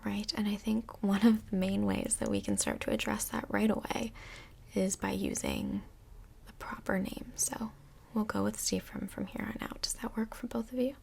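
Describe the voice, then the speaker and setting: A woman playing a calm, reassuring couples' counsellor in a soft-spoken, breathy, mostly whispered ASMR role play, gentle and measured. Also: mid-range voice, full character voice. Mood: calm, encouraging.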